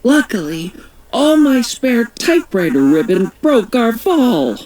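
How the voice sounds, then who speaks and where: A woman's voice: a little high, very animated, slow, darkish tone, pitched above the performer's own voice. A storybook reader voicing a plucky young girl reporter in a chirpy, matter-of-fact tone.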